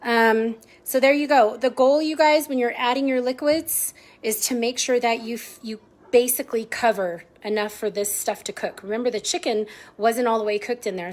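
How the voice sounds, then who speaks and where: A woman's voice: on the high side, a little hiss or hum. A woman hosting a casual live cooking stream from her home kitchen, chatty, greeting viewers by name and easily sidetracked. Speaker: host of a cooking livestream.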